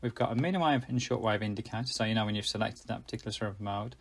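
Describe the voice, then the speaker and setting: A man's voice: fairly low pitch, rapid-fire. A man presenting a casual hands-on gadget review in a rambling way, often correcting himself and getting slightly tongue-tied.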